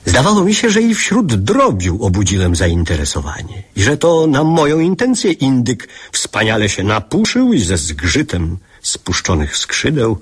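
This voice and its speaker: A man's voice: medium pitch, wildly swooping pitch, normal speed, hardly any pauses, slightly rough, full character voice. A man playing a reflective narrator recalling his boyhood in a lyrical, literary radio drama. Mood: nostalgic.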